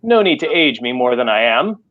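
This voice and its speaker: A man's voice: medium pitch, big pitch swings, fairly quick, natural voice. Story reading, cranky elderly male scientist-tycoon, done by a male reader: petulant, stubborn, slightly muffled lo-fi audio. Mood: needling. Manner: grumbling, petulant. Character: irritable, stubborn, very old male tech tycoon.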